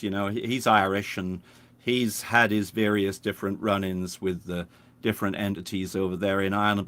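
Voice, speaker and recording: A man from the United Kingdom talks as a guest on a video call, telling his stories in a relaxed, unhurried way. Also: fairly low pitch. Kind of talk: casual interview chat. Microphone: webcam on a video call.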